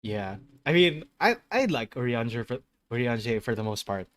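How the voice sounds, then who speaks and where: A man's voice: medium pitch, big pitch swings, normal speed, fairly bright tone, dry recording. A man hosting a casual gaming stream chat. He rambles, corrects himself mid-sentence and laughs easily. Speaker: host of a gaming channel.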